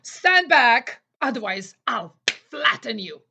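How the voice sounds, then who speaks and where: A woman's voice: fairly high pitch, sing-song, slow, vocal fry, pushed and bright, a little hiss or hum, full character voice. A woman voicing a cautious, bossy teddy bear who scolds and worries in a children's audio play. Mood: blustering. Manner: scolding, worrying, exclaiming.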